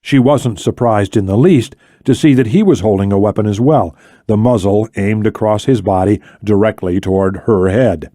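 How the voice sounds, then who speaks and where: A man's voice: fairly low pitch, normal speed, mellow, no echo, studio quality. A man reading scripted thriller narration as an audiobook narrator.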